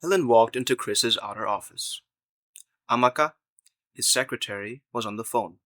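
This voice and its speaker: A man's voice: mid-range voice, moderate pace, fairly bright tone, dry recording, clean studio sound. A man reading scripted narration for an audio drama.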